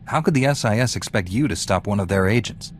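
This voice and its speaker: An actor playing a calm, dutiful heroic knight in a video game, measured and sincere. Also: male voice, on the low side, heavy background noise, natural voice. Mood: calm, earnest. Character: earnest, dutiful male Jedi Knight, calm hero.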